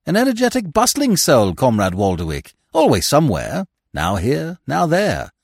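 A man voicing a suave, unflappable gentleman in an audiobook, blandly cordial and grandiose in his florid speech. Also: a little high, wildly swooping pitch, moderate pace, gravelly, dry recording, clean studio sound, pitched above the performer's own voice, exaggerated voice. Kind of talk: audiobook character voice.

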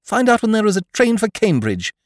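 A man voicing a flustered, excitable young gentleman with breezy, theatrical bluster. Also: sits high, a little fast, no echo, studio quality, pitched above the performer's own voice.

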